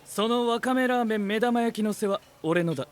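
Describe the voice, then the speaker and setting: A man's voice: sits high, a little fast, slight background noise, lightly acted. A man playing a cool, studious young knight who talks in a stiff, analytical way in an anime audio drama. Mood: matter-of-fact. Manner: formal, analytical.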